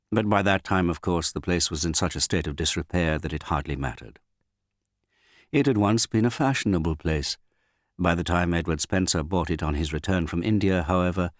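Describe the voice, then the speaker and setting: A man narrating a literary novel as an audiobook reader, with a measured, understated delivery and finely modulated voice. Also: deep voice, no room sound, clean studio sound.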